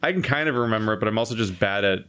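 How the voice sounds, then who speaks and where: A man's voice: lowish voice, expressive, very fast, a bit bright. Laid-back man chatting casually. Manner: laid-back, rambling, laughs often. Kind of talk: casual podcast chat.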